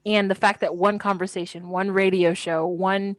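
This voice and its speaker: A woman in her thirties co-hosting a podcast in casual chat, blunt and animated, swearing when something annoys her. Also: mid-range voice, moderate pace, dry recording. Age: 30s. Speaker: podcast co-host.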